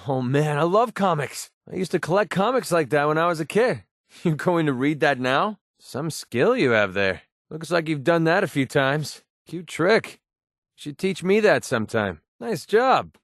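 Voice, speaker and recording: An actor playing a wisecracking, cynical young mercenary for hire in a video game, with an American accent and a sardonic, streetwise manner. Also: male voice, a little high, sing-song, normal speed, dry recording, studio quality. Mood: amused, teasing. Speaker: companion character in a video game. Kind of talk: video game companion voice lines.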